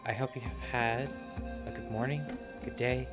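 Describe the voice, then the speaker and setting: Casual male streamer over loud music. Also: mid-range voice, steady tone, normal speed. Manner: blunt, sweary, repeats himself. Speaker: game livestreamer. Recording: loud game music behind voice.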